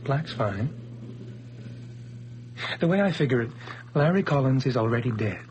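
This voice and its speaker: A man's voice: mid-range voice, lively intonation, moderate pace, a little mellow, highs cut off, a little hiss or hum, close to an everyday voice. A man playing a cold, menacing gunman. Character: cold vengeful man holding a gun. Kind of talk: 1980s radio drama acting.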